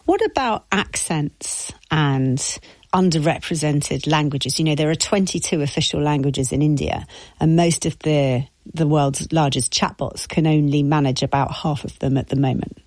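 A woman's voice: low voice, normal speed, a little mellow, dry recording. A woman, a radio interviewer putting probing, pointed questions to a guest.

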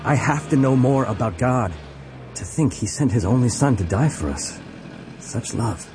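An actor playing a humbled, newly converted young husband, speaking sincerely and plainly in an audio drama. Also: male voice, medium pitch, expressive, normal speed, loud music behind the voice, close to an everyday voice. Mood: earnest longing. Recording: vehicle noise behind the voice.

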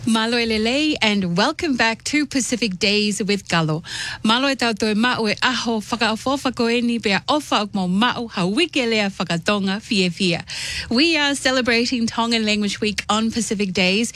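A woman's voice: a little high, normal speed, fairly bright tone, dry recording. A woman hosting a radio interview, upbeat and mixing in Pacific-language greetings. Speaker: radio show host.

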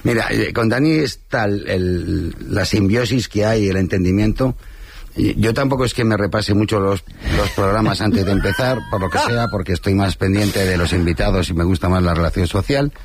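A man with a husky voice explaining things in an unhurried way, as a show host chatting in an interview. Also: lowish voice, a little hiss or hum. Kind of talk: casual interview chat.

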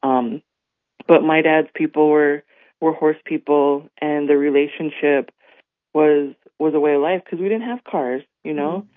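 A man from North Dakota, his voice a little hoarse, telling stories in a radio interview and often asking "right?". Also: a little high, a little slow, no room sound. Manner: warm storytelling with many fillers and "right?". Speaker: guest. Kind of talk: radio interview, casual talk. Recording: phone-like radio line.

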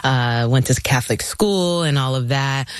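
Woman in her 30s, chatty guest, thinks out loud, dry studio sound. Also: extremely low pitch, moderate pace, smooth, no echo. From Philippines. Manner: chatty, laughs often, thinks aloud. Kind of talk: casual interview talk.

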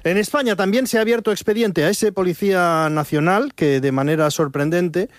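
A man's voice: sits high, fairly quick. A man hosting a news show, giving sardonic, ironic commentary. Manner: dry, sardonic delivery.

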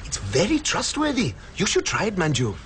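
A man's voice: high voice, huge pitch swings, moderate pace, fairly bright tone, slight background noise, full character voice. A man playing a call centre worker in a comedy sketch, speaking with a Geordie accent and polite phone-service patter. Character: chirpy male call centre agent, cheeky.

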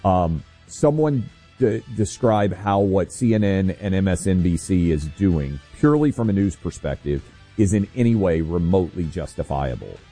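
Low, dark-voiced male radio co-host. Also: animated, moderate pace, faint music behind. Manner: pointed, critical commentary with fillers. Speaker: radio talk show co-host. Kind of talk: political talk radio.

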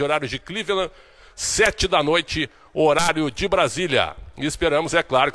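A man's voice: a little high, a bit bright, no echo. A man with a Brazilian accent delivering a live radio sports report.

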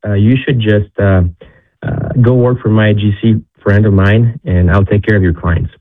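Low-voiced man on a tinny line. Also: steady tone, normal speed. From Ecuador. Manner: storytelling, many restarts. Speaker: guest. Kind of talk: casual interview talk.

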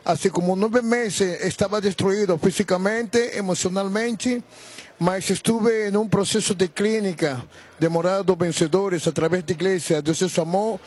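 A man from Bolivia with a Bolivian Spanish accent, a native Spanish speaker giving a halting church testimony on live radio and mixing Spanish words into his Portuguese. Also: high-pitched, fairly even intonation, darkish tone, husky.

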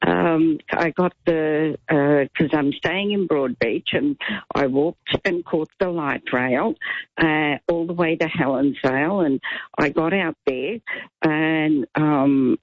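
A woman's voice: deep voice, slow pace, dry recording, highs cut off. A woman in her seventies calling in to a talk radio show, chatty and rambling, getting indignant at times. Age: 70s. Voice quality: loud voice. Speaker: caller. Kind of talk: talkback radio call.

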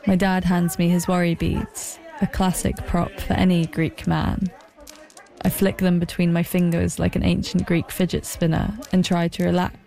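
Woman narrator, gravelly, wry and deadpan, low flat delivery. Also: moderate pace. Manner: dry, wry understatement. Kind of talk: scripted documentary narration.

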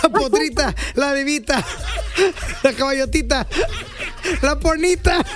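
A man's voice: extremely high pitch, measured pace, music in the background. A man hosting a comic radio show, teasing callers and laughing often. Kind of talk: comic morning radio banter.

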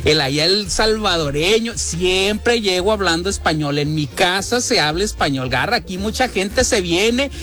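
A man's voice: sits high, animated, normal speed, hardly any pauses, sharp tone. A man with a Mexican accent telling casual, jokey personal anecdotes as a chatty, colloquial radio listener. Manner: chatty, colloquial, fond of diminutives. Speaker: radio show listener sending a message.

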